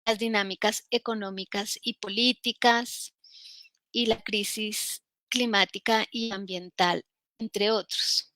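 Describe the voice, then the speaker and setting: Woman academic panelist, slow measured delivery, bright tone, dry close recording. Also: fairly high pitch, no room sound. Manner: slow, measured, many fillers and restarts. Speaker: panelist, professor. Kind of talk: formal academic talk at a symposium.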